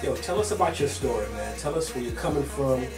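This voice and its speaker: A man's voice: mid-range voice, animated, a little fast, echoey room, far from the mic, music in the background. A man hosting a hip-hop magazine interview, asking questions in an upbeat, hype style. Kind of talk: casual interview.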